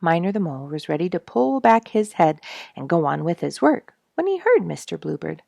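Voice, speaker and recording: A woman reading a children's story aloud with expressive, emphatic storytelling. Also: fairly low pitch, normal speed, creaky, dry recording, clean studio sound. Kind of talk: read-aloud storybook narration.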